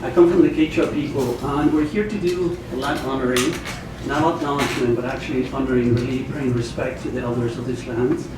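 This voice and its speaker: A man's voice: mid-range voice, fairly even intonation, fairly quick, few pauses. An older man from Ecuador, addressing an audience as an elder leading a ceremony. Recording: very echoey room, distant mic, noisy.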